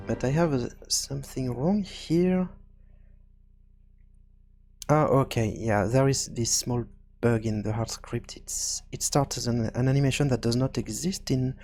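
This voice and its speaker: Man, casual tutorial host, medium pitch, halting delivery, thinking aloud, music underneath. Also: darkish tone. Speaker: tutorial host and game developer. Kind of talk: casual screencast programming tutorial.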